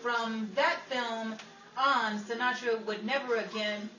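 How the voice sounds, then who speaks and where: A woman playing a brisk, sassy Hollywood showgirl and presenter in old-time radio style. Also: fairly high pitch, fairly even intonation, sharp tone. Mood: announcing. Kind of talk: old-time radio comedy acting and newsflash narration. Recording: echoey, distant recording with faint noise.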